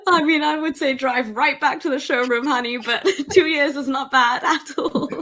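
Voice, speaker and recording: A woman's voice: high-pitched, fairly even intonation, moderate pace, fairly bright tone. A woman hosting a casual conversation, enthusiastic and affectionate toward her guest. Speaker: host.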